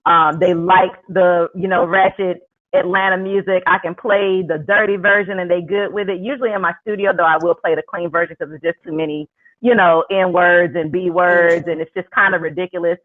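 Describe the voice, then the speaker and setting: A man with a Southern US accent, a pole instructor, chatting relaxed on a panel over a video call. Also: sits high, a little fast. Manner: relaxed, conversational. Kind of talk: casual panel discussion. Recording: dull lo-fi video call audio.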